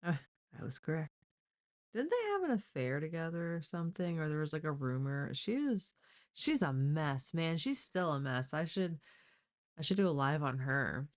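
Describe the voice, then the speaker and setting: A woman's voice: low voice, sing-song, moderate pace. A woman hosting a casual true-crime live stream, speaking bluntly and informally with the odd swear word.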